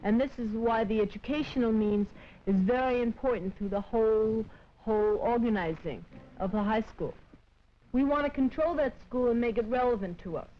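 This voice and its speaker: A woman's voice: on the high side, moderate pace, slight background noise. Young American woman on dull old film sound. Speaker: student activist. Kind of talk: explanatory political talk.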